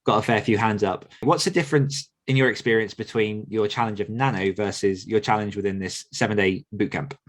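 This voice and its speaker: A man's voice: on the low side, a little fast, few pauses. A man hosting a podcast, asking guests questions in a casual interviewing style. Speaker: podcast host.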